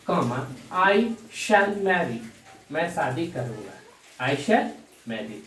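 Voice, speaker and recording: Male teacher, slow deliberate dictation, repeats key phrases, recorded from a distance. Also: sits high. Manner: addresses the class as dear students. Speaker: English grammar teacher. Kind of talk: bilingual grammar lesson. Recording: echoey room, distant mic, faint hiss.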